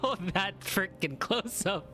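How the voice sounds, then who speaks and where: A woman's voice: medium pitch, animated, takes it slowly. A woman doing a casual game reaction, reading dialogue aloud and commenting in an amused, informal way. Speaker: gaming video creator. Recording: game music behind the voice. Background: game music.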